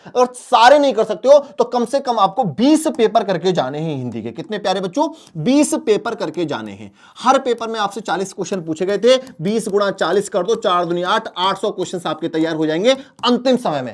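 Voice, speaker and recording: A man coaching students as a teacher, emphatic, repeating key phrases and answering his own questions. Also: high-pitched. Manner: asks questions and answers them himself. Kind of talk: exam strategy lesson addressed to students.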